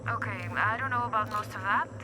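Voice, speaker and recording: A woman's voice: medium pitch, brisk, natural voice. A woman playing a friendly, casual helper in video game dialogue. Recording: clear ambient background noise.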